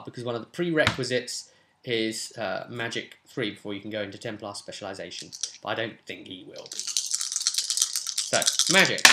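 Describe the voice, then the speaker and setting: A man in his twenties chatting casually and thinking aloud as he explains a tabletop game to viewers. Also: medium pitch. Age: 20s. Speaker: host of a tabletop roleplay channel. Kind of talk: casual explanatory chat while rolling a game character. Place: small home room, indoors. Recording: roomy echo, background hiss and clicks.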